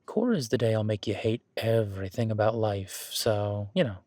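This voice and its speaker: A man's voice: on the low side, animated, moderate pace, a little mellow, no room sound, natural voice. A man playing a friendly, easygoing werewolf boyfriend who coaches his date at the gym in a casual, encouraging, rambling roleplay audio. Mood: teasing. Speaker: gym partner giving workout guidance. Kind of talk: roleplay audio drama, one-sided casual conversation.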